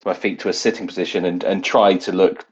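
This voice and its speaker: Rambling man with a lowish voice, gaming. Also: steady tone, quick pace, slightly rough. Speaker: player in a tabletop roleplay game. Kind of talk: casual comic improvised game narration. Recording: dull, lo-fi game recording.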